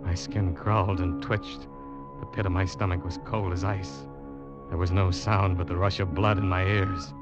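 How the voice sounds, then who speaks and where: A man's voice: low voice, flat delivery, close to an everyday voice. An actor playing a guilt-ridden young seaman who narrates his ordeal in tense, dramatic old-time radio style. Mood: hushed, tense dread. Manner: tense, dramatic, deliberate storytelling. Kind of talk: 1940s-50s radio drama acting, suspense narration. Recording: muffled old radio broadcast, loud music behind.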